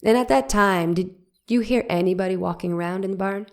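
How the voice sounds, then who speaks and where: A woman's voice: medium pitch, a little fast, natural voice. Woman playing a probing 1890s courtroom attorney. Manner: pressing, leading questions. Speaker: cross-examining lawyer. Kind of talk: dramatic reading of a trial transcript.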